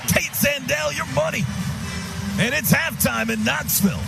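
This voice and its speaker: A man doing live radio play-by-play of college football, calling action in short, urgent, excitable phrases that swell into shouts. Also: very high-pitched, unhurried, gravelly, over loud music.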